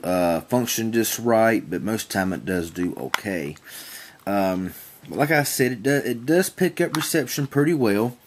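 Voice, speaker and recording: A man giving a casual product demonstration in a plain-spoken, folksy, rambling and repetitive way. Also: on the low side. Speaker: reviewer demonstrating a small weather radio.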